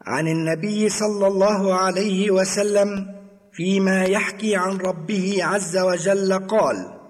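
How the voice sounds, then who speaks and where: A man recites sacred text in a measured, devotional style. His voice sits high and moves along briskly. Long reverberation surrounds every phrase. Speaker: hadith reciter. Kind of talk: measured religious recitation in Arabic. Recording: long reverberant hall echo.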